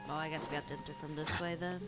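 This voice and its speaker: A woman streamer with a fairly low voice, dropping short reactive murmurs while she watches. Game music is clearly heard under her voice. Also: steady tone, rapid-fire.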